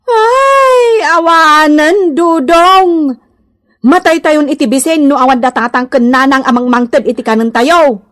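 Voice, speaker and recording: A high-pitched, dramatic Ilocano housewife. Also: female voice, a bit bright. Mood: wailing, scolding. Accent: Ilocano Filipino. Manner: exclaiming, wailing, drawn-out. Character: struggling, whiny, dramatic Ilocano wife and mother, small-time gambler. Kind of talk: Ilocano radio comedy drama acting.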